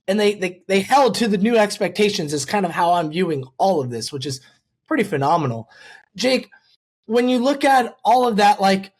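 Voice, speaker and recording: An interviewer hosting a casual sports conversation in a rambling, conversational and enthusiastic way. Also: medium pitch. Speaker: podcast host and interviewer.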